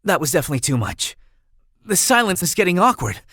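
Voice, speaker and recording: Earnest young prince, higher-pitched. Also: male voice, sing-song, quick pace, bright, forceful tone, no room sound, studio quality, full character voice. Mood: sheepish. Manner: heartfelt, boyish, self-conscious. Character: earnest young exiled prince, gentle and sentimental. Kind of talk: video game character acting.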